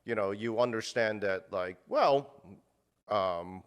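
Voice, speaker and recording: A man's voice: on the low side, slow pace, clean tone, highs cut off. A man talking casually as an interview guest, rambling with many fillers and restarts and cursing bluntly. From United States. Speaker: guest, meat purveyor.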